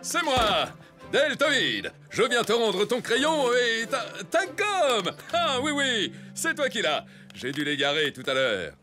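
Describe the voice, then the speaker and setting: A pompous cartoon toy hero, vain yet well-meaning. His fairly high male voice swoops theatrically up and down over background music. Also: big pitch swings, slow pace, bright, forceful tone, full character voice. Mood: proud, cheerful. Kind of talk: children's cartoon dialogue.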